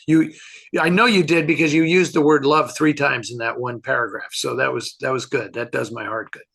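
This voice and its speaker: A man chatting casually as a film expert answering questions, halting and thinking aloud as he offers his opinions. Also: medium pitch, fairly even intonation, gravelly, fairly bright tone.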